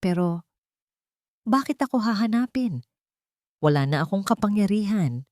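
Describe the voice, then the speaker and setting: A woman's voice: medium pitch, lively intonation, moderate pace, darkish tone, no echo, voice pushed up, close to an everyday voice. A woman playing a weary, remorseful man who was once rich, speaking in an earnest, solemn, confessional way in an audio drama. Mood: worried.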